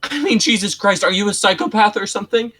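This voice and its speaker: A man's voice: sits high, sing-song, moderate pace, bright, forceful tone, breathy edge, voice pushed up, exaggerated voice. A man playing a panicky, exasperated trapped coworker, blunt, agitated and foul-mouthed. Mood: freaked out.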